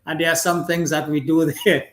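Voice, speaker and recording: A man from Trinidad hosts a talk show, chatting along in a halting way full of fillers. His voice sits a little high, and the room around him sounds echoey. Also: flat delivery, fairly bright tone. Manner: conversational, halting, with many fillers. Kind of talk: casual talk show conversation.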